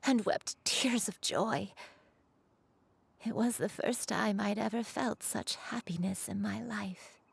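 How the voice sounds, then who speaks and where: A woman voicing a frail, gentle, forgetful elderly grandmother in a video game, speaking in a halting, sorrowful way. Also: medium pitch, gravelly, slightly rough, no room sound, exaggerated voice. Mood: tender, nostalgic. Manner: slow, halting, gentle delivery. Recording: music underneath.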